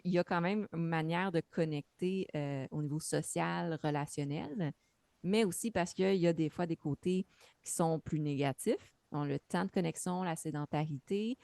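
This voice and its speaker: A woman explains her field as a practitioner on a webinar panel, with many fillers along the way. Her voice sits low, and the sound is dry, close and free of room echo. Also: creaky, darkish tone. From Quebec. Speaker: mental health practitioner on a panel. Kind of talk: webinar talk.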